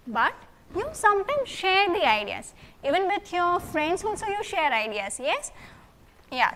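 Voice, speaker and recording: A woman's voice: high-pitched, sharp tone, slight background noise. A woman teacher with an Indian English accent, giving a recorded lesson and checking in with students often. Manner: questioning, coaxing, checks in with "yes?" often.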